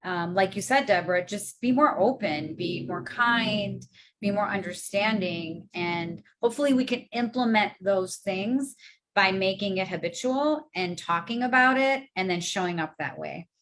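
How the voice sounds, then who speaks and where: A woman's voice: mid-range voice, moderate pace, fairly bright tone, a little hiss or hum, low-quality recording. A woman on a committee panel talking in a casual, reflective way. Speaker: committee member. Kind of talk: panel discussion.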